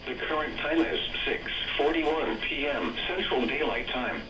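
A man's voice: fairly high pitch, lively intonation, unhurried, distant mic, over loud music. A man's text-to-speech voice reading an automated weather broadcast in an evenly clipped synthetic manner, played through a radio speaker. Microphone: camera pointed at a weather radio receiver. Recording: radio speaker recorded from across an echoey room.

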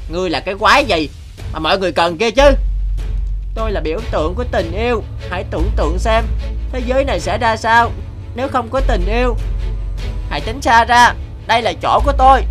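A woman's voice: fairly high pitch, expressive, fast, a bit bright, soft background music. A woman voicing a boastful, self-important talking candle in a cartoon. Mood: angry. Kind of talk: cartoon dub acting.

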